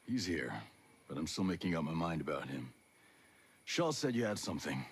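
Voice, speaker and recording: An actor playing a stern, guarded special forces operative in a video game, terse and serious. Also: male voice, extremely low pitch, sing-song, moderate pace, breathy edge, heavily acted. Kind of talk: video game cutscene acting.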